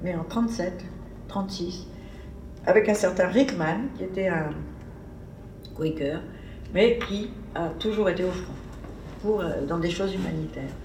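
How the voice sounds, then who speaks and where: Woman, seminar speaker, low voice, digressive lecturing, distant mic, roomy echo. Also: measured pace, pauses often, a little mellow, slight background noise. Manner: digressive, conversational, thinking aloud. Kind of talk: informal academic lecture. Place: seminar room. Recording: echoey room, mic far off.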